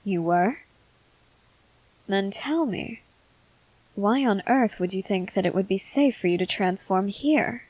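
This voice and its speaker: A woman playing a composed, inquisitive young female soldier in an ASMR roleplay, speaking formally in measured, deliberate phrases. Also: mid-range voice, expressive, a little mellow, dry recording, low-quality recording. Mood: calm, intrigued. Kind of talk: scripted ASMR roleplay, acted to the listener.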